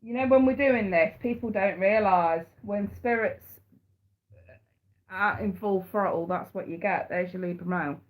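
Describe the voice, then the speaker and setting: A woman's voice: medium pitch, moderate pace, narrow, tinny audio. A woman with a head cold, chatting on a live stream and giving tarot readings. She talks in a chatty way, repeats words and calls her viewers sweetheart and darling. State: congested with a cold. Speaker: tarot reader.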